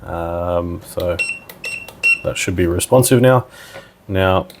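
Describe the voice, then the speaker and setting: A man's voice: low-pitched, slow, noisy background. A man giving a casual, plain-spoken step-by-step technical demonstration as a tutorial presenter.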